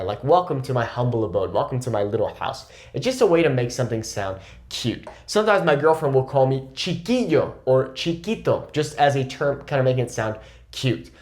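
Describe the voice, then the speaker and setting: A young man teaching Spanish in a casual video lesson, explaining points in a lively way and often checking in with "okay?". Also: mid-range voice.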